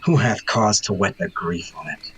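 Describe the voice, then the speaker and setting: A man's voice: low-pitched, normal speed, fairly bright tone, breathy edge, heavily acted, low-quality recording. An actor playing a sardonic, mocking nobleman in a Shakespearean audio drama. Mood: accusing.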